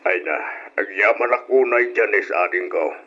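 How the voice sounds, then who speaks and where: A dull, lo-fi radio drama recording carries a needy older brother. His delivery is effusive and swings widely in pitch, in the manner of old serial acting. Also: lively intonation, normal speed, creaky, a bit bright, full character voice. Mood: grateful. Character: grateful, hard-up older brother Victor.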